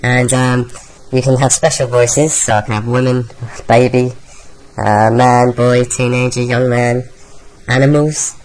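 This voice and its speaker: A man demonstrating software while his voice runs through a pitch-shifting voice changer, rambling with ums. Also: little pitch movement, measured pace, poor audio. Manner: rambling, with many ums and restarts. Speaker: software reviewer. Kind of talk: casual screen-recording tutorial.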